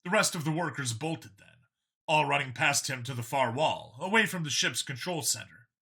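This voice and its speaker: A male audiobook narrator with a very bright, forceful voice. His pitch moves in a lively way as he reads scripted science fiction narration. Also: moderate pace, breathy edge.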